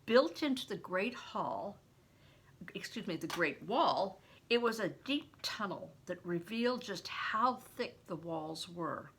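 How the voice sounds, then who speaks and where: A woman's voice: mid-range voice, expressive, slow, a bit bright, slight background noise. An older woman, a grandmother, reading a story aloud expressively, as if to children. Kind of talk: read-aloud audiobook narration with plain asides.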